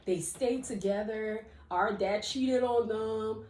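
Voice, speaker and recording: A woman's voice: on the high side, slow pace. Laughing, chatty woman in an echoey room. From Liberia. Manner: animated, laughs often, repeats words when starting sentences. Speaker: co-host. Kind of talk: casual chat.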